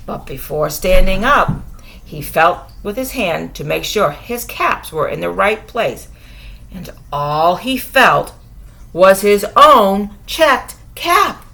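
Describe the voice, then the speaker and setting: A woman reading a bedtime story aloud to young children as a storyteller, expressive, with dramatic pauses and questions to her listeners. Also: medium pitch, gravelly.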